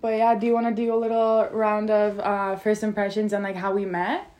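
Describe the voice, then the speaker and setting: A woman's voice: medium pitch, flat delivery, brisk, darkish tone, dull audio. A young woman from Turkey with a Turkish accent, co-hosting a podcast in chatty casual talk full of "like". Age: young adult. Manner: chatty, lots of filler "like". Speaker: podcast co-host. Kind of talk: casual chat.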